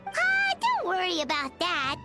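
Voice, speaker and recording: Squeaky, excitable tiny fairy girl. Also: measured pace, loud music behind the voice, exaggerated voice. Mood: excited, eager. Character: tiny childlike female fairy companion, excitable and bossy. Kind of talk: video game voice acting.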